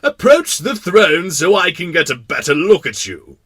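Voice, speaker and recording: A man voicing a proud, kindly but pompous king in a storybook, making imperious declarations with drawn-out words. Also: high-pitched, sing-song, fairly bright tone, slightly rough, dry recording, voice pushed up, full character voice. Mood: pompous, commanding. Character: proud, kindly, pompous absolute monarch of a tiny planet.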